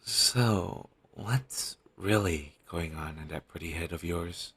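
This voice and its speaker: A man playing a caring, teasing talent manager, coaxing a troubled actor in a one-sided audio roleplay with a low-quality sound. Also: slow, a bit bright, husky, exaggerated voice. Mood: teasing, probing. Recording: low-quality amateur recording.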